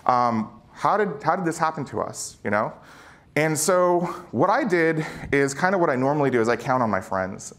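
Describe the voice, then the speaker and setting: A man's voice: mid-range voice, a bit bright. A middle-aged man giving an informal conference talk on stage, slangy and conversational, often checking in with listeners. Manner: conversational, slangy, peppered with rhetorical check-ins. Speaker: keynote speaker, former security chief.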